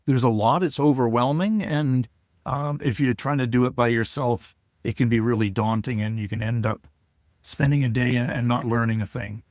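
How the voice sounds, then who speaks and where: Halting, shy older man. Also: medium pitch, darkish tone, no room sound, clean studio sound. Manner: halting, shy storytelling with frequent restarts. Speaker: guest, COPD advocate. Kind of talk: casual interview talk.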